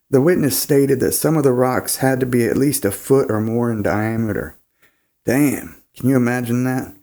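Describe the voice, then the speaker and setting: A man's voice: medium pitch, dark tone. A man, hosting and casually narrating an encounter story, his voice nasal and congested from a cold, laid-back with rambling asides. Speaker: host.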